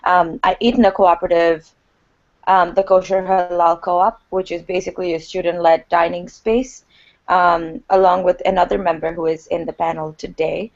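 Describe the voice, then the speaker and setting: A cheerful young woman from Pakistan introduces herself as a student in a slightly low voice. Her video-call audio comes through dull and muffled. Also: flat delivery, moderate pace. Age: young adult. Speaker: student panelist. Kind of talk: casual self-introduction.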